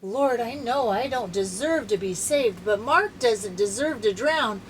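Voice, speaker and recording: A woman's voice: a little high, lively intonation, a little slow, slight background noise, rough recording. A woman voicing a troubled, humbled young boy in a storybook, plaintive and sincere. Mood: pleading, humble.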